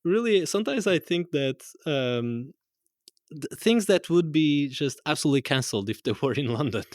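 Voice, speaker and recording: A man's voice: on the high side, animated, moderate pace, no echo, studio quality. A man from Portugal with a Portuguese accent, chatting casually on stage at a live event, rambling and correcting himself as he goes. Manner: rambling, self-correcting, laughs often. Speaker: guest musician. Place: live event room with audience.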